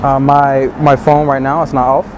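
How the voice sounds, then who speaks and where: A young man talks on a busy city square, mostly in a low and unhurried voice, and he turns livelier when he gives advice. He is picked up from a distance, and loud traffic and passing crowds run underneath. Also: flat delivery. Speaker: dating coach. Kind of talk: street pickup talk and to-camera advice. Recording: street noise and traffic behind.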